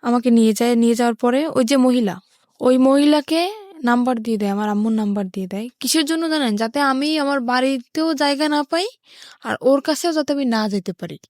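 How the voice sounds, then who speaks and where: A woman's voice: fairly high pitch, a little fast, a little mellow, no echo, studio quality. A young woman with a Bangladeshi accent, telling her life story as an interview guest.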